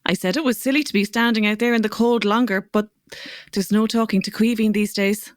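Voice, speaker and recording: A woman's voice: mid-range voice, steady tone, a little fast, no room sound, clean studio sound, close to an everyday voice. An actress playing a kindly, concerned Irish mother figure, soothing in manner, in a children's audio drama. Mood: reassuring.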